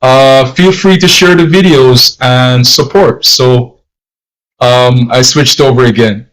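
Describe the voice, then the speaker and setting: A man's voice: mid-range voice, slow, fairly bright tone, low-quality recording. Jamaican man teaching on a livestream. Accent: put-on non-Jamaican accent, not his natural one. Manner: rambling, repeats himself, frequent fillers. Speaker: language teacher, livestream host. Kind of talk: casual livestream talk.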